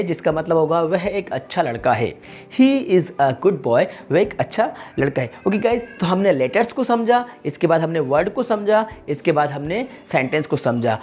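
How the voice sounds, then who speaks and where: A man teaching a beginner English grammar lesson for an online channel, talking earnestly to viewers and repeating each point, and slowing down when he reads English definitions. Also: on the high side, animated, a little mellow, faint music behind. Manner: earnest and repetitive, restates points and keeps calling out to viewers.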